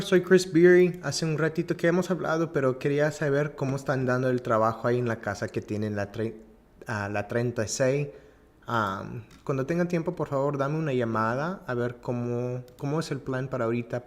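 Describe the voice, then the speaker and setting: A man's voice: mid-range voice, quick pace. A man working as a real estate agent, making polite follow-up calls and talking through his day, switching between English and Spanish as a learned second language. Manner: polite and courteous, says ma'am.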